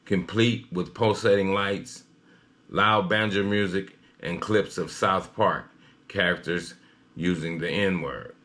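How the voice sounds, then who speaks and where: Man, deep voice, news commentary host, halting reading delivery. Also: fairly bright tone.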